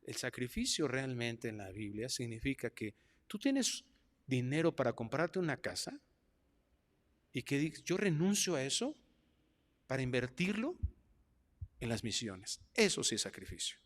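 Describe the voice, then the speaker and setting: Mexican pastor preaching in a reverberant hall. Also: male voice, medium pitch, a little slow, long pauses. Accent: Mexican Spanish. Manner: animated preaching, swinging from quiet asides to loud mocking voices. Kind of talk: sermon. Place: church hall.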